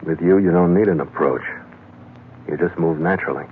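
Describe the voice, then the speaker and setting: An actor playing a hard-boiled sea captain. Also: male voice, low-pitched, fairly quick, a little hiss or hum, lightly acted. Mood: wry. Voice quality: dry, clipped tough-guy delivery. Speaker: lead actor in a radio adventure. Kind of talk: 1940s radio acting. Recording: tinny 1940s radio broadcast.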